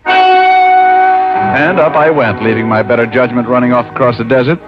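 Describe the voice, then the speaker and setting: An actor playing a wry American private detective who narrates and acts in a hard-boiled old-time radio drama. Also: male voice, medium pitch, very animated, moderate pace, over loud music, close to an everyday voice. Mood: narrating. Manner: dry, wry delivery. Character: wisecracking, self-mocking male private detective, ex-homicide cop. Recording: muffled old radio recording.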